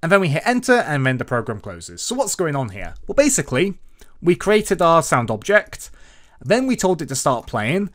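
A man's voice: a little high, very animated, normal speed, fairly bright tone. A man narrating a coding tutorial as its host, with sing-song emphasis at the start of phrases. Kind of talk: scripted coding tutorial narration over screen recording.

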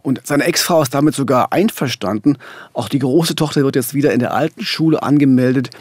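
A middle-aged man co-hosts a true-crime podcast, explaining the case in a narrating style. His pitch is medium and the studio sound is very dry. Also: a little fast, a little mellow, no echo. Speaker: podcast host. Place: dark radio studio. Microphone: studio microphone with headphones.

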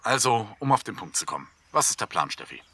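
Man playing a sharp, forceful, teasing podcaster guy. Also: fairly low pitch, sing-song, fast talker, slightly rough, exaggerated voice. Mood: playful, bantering. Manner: casual, teasing, joking. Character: Marius, bantering young male podcaster reporting on a garden hole. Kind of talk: German audio drama acting as casual vlog.

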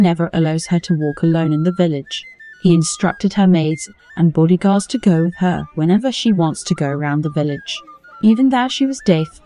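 A woman's voice: deep voice, dark tone. A woman narrating a scripted folktale as a storyteller. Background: music under the voice.

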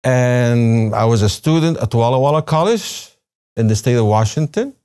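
A man over sixty with a soft-edged, mellow voice and a Syrian Arabic accent. A native Arabic speaker, he shares personal recollections as a guest in a studio interview. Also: medium pitch, lively intonation, normal speed, clean tone. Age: 60s or older. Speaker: interview guest, retired religion professor. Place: television studio, seated at a desk. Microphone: lapel mic.

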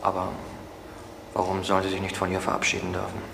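A calm, defiant young man in a radio crime drama, philosophical and pedantic. He speaks briskly at a medium pitch. The recording is roomy and clearly noisy. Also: exaggerated voice. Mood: stunned, pleading. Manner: pedantic, philosophical, answers questions with questions.

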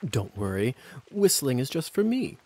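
Suave vampire hussar, lively pitch. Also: male voice, normal speed. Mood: sly instructing. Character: suave, sly male vampire hussar, teasing mentor. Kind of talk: video game voice acting.

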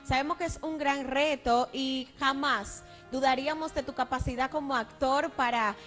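A woman's voice: high voice, steady tone, normal speed, a bit bright, soft background music. A young woman co-hosting a TV interview, asking polite, formal questions into a handheld mic. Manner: polite, formal questions with long lead-ins. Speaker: co-host. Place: TV studio.

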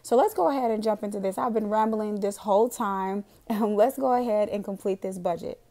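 Hoarse woman vlogger recovering from a cold. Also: medium pitch, a little fast, warm tone. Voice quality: raspy. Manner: chatty, rambling, with frequent "um" and laughs. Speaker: planner and budgeting vlogger. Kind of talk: casual voiceover narration. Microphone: voiceover recorded separately from the footage.

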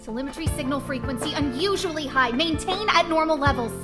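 A woman's voice: sits high, brisk, close to an everyday voice. An actress playing an earnest young woman lead researcher, composed but worried, polite and formal, in an anime game. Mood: alert, commanding. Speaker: game character, space station lead researcher. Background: game background music.

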